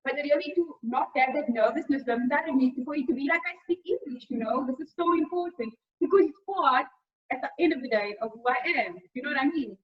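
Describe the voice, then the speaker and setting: High-voiced Cape Town woman on a video call. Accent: Cape Town South African. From Cape Town, South Africa. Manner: lively, quick restarts and repeated words. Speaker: host. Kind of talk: casual conversation. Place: small indoor room. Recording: dull lo-fi video call audio.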